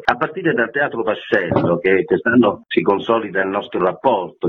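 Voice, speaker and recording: Very husky low-voiced man, phone line. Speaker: festival artistic director, guest. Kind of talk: radio interview, explanatory answers. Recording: very thin phone line on radio.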